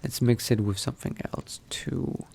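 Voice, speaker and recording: A man narrating his role-play gameplay in character, musing to himself in an unhurried, gravelly voice. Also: mid-range voice, fairly even intonation, warm tone. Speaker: gaming video host, role-playing player.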